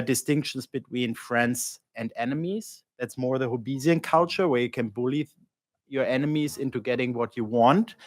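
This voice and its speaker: A male panelist with a loud voice, explaining ideas in a lecture-like way at an academic panel. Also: mid-range voice, no room sound. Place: conference panel room.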